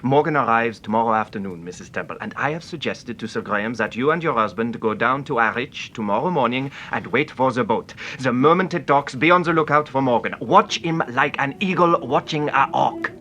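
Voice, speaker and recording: A man's voice: medium pitch, brisk, few pauses, sharp tone, a little hiss or hum, lightly acted. Emphatic, grave male official, acted by a man. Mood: briefing. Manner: emphatic, declamatory, dramatic. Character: grave, emphatic official briefing on a notorious criminal. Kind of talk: British radio thriller acting.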